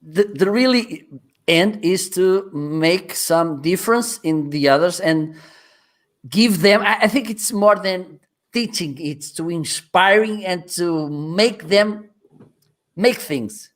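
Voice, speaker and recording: A man from Portugal with a Portuguese accent hosting a live interview in a second language, halting and often restarting, and he laughs easily. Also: high voice. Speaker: host.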